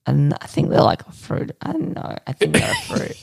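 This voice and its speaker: A man co-hosting a podcast, rambling through absurd improvised stories in a deadpan way with lots of fillers. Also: on the high side, vocal fry, breathy edge, dry recording. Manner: slow, rambling, deadpan nonsense with many fillers. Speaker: podcast co-host.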